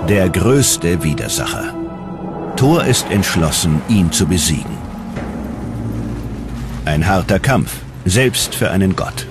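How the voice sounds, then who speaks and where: Very deep dramatic male documentary narrator. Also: big pitch swings, over loud music. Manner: dramatic, measured storytelling delivery.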